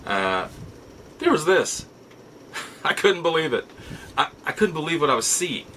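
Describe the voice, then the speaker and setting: Bright-voiced middle-aged man, halting and reflective. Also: fairly high pitch, sing-song, a little hiss or hum. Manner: halting, reflective, with emphatic repeats. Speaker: show host. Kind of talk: casual nostalgic storytelling to viewers.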